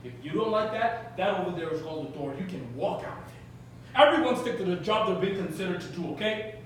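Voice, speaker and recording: A man's voice: sits high, lively intonation, fairly quick. A man playing a blunt, condescending male film producer who lectures in curt, commanding phrases. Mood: lecturing. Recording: roomy live theatre, distant mic, noisy.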